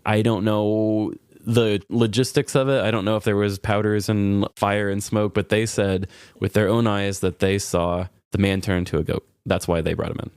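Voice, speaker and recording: Male podcast co-host, low register, close dry sound, easygoing storytelling with a self-deprecating streak. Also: level tone, normal speed, darkish tone, clean tone, no echo. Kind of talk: casual podcast chat, storytelling.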